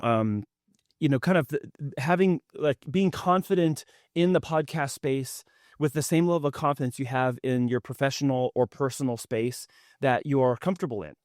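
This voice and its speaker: Male podcast host, playful and rambling, mid-pitched voice on a dry close mic. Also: moderate pace, darkish tone, no room sound. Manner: joking, rambling with restarts. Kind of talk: conversational interview.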